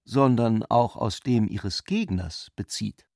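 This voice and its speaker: A man's voice: fairly low pitch, lively intonation, slow pace, darkish tone, dry recording. Man narrating literature, dry close sound. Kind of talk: literary reading in a radio play.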